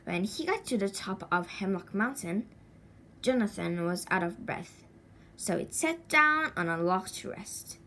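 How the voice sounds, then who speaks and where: A woman reading a children's story aloud in an expressive, deliberate way with a sing-song lilt. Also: mid-range voice, a little hiss or hum. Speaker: storybook reader.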